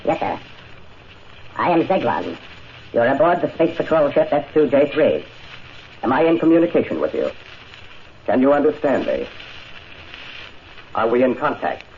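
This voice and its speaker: A man's voice: mid-range voice, lively intonation, moderate pace, long pauses, natural voice. Man voicing a formal, stilted alien patrol officer. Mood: probing, formal. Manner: formal, stilted, precise questioning. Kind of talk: 1950s radio drama acting. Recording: dull, hissy 1950 radio recording.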